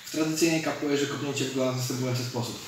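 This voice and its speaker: A man's voice: medium pitch, fairly even intonation, very fast. A man in his thirties, a martial arts coach, giving hands-on instruction and explaining techniques as he demonstrates them. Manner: explains while demonstrating moves. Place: large indoor gym with fighting cage. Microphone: camera mic from several metres away. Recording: distant mic, very echoey hall, noisy.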